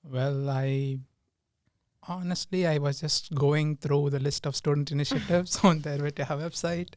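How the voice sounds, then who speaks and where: A young man from India, a podcast guest, answering in casual chat with pauses and frequent laughs. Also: fairly high pitch, fairly even intonation, a little mellow, no room sound, clean studio sound. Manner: hesitant, laughs often. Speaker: guest, team lead.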